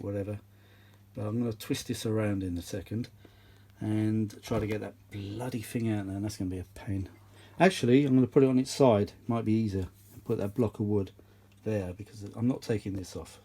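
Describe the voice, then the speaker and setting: Low-voiced British man, grumbling away casually. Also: dark tone, husky. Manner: rambling, grumbling, blunt and sweary. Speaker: host of vintage audio repair channel. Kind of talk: casual unscripted repair commentary.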